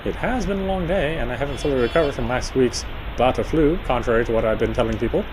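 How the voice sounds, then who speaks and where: A man reads a story game aloud as dramatic first-person narration. His voice is mid-pitched and he sometimes trips on words, with clear car-like ambience behind him. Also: fast talker, background noise. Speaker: player reading a game aloud.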